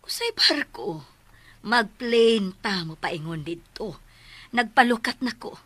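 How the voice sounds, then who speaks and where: Bossy firm mom in radio drama, cebuano accent, husky but sharp. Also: female voice, medium pitch, animated, slow pace. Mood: firm, commanding.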